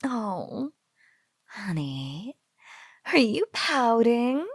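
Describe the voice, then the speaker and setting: A close, dry, breathy female spider monster acted by a woman in an intimate audio roleplay. She coos slowly and possessively over her captive, teasing and doting, her drawl often sinking into whispers. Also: on the high side, sing-song, no room sound, full character voice. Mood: cooing, affectionate. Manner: teasing, drawling coo with giggles.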